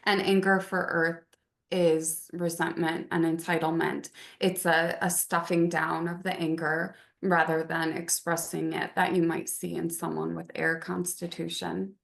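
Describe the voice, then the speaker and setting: Guest, woman, low and gravelly, unhurried, flat monotone. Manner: calm, monotone delivery, as she says herself. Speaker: podcast guest. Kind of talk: casual podcast conversation.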